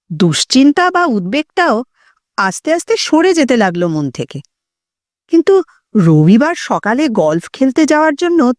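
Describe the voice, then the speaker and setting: A woman narrating an audio story in an expressive, suspenseful, dramatic scripted style. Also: high voice, no echo, studio quality.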